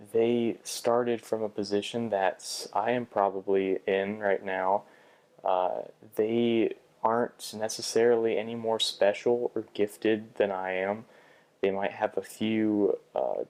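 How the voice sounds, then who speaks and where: Low, flat-voiced young man reflecting. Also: rough recording. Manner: hesitant, many restarts and fillers. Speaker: vlogger. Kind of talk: reflective personal vlog.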